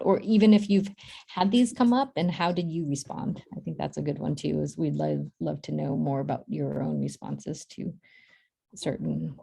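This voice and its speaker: Casting: female workshop host, low creaky voice, halting informal advice-giver who often rephrases herself. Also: warm tone. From Boston, United States. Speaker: workshop host and centre staff speaker. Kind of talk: informal workshop advice over video call. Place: virtual workshop video call.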